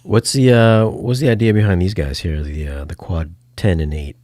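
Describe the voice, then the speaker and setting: A man's voice: sits low, steady tone, normal speed, dark tone, no echo. A man asking questions as an interviewer in a casual chat.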